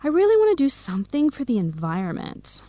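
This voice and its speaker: Young uni student, highish voice, really animated pitch, sincere, radio drama. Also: female voice, a little fast, dry recording. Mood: friendly, sincere. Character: young female university student, friendly and sincere. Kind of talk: scripted radio drama acting for English learners.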